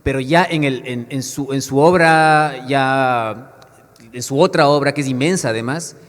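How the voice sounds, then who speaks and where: A man moderates a panel, his speech halting and full of restarts. His pitch is middling. The whole thing rings with the long echo of a big hall. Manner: hesitant, many fillers and restarts. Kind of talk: panel discussion. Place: book fair panel hall. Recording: echoey hall sound.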